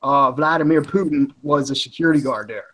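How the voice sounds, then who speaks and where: A man's voice: fairly high pitch, brisk, fairly bright tone, slight background noise, poor audio. Chatty man with a Philly accent. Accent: Philadelphia. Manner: rambling, chatty, self-correcting. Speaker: livestream host. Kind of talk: casual group chat.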